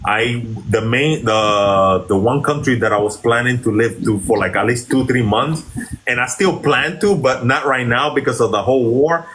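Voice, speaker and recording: A man's voice: mid-range voice, moderate pace, a bit bright, low-quality recording. A man born in Venezuela, a guest on a debate stream, talking in a rambling, hesitant way with many restarts. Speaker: guest caller. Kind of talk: casual debate chat.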